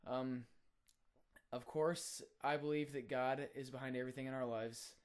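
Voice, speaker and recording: A young man, a college student, sharing a personal faith testimony in an earnest, reflective way with frequent filler pauses. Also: mid-range voice, fairly even intonation, clean tone. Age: young adult.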